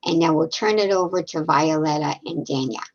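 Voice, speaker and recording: A woman's voice: low voice, normal speed. A woman hosting a storytime on a video call, encouraging readers and introducing the next group. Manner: encouraging, thanks each reader. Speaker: storytime host. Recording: dull lo-fi video call audio.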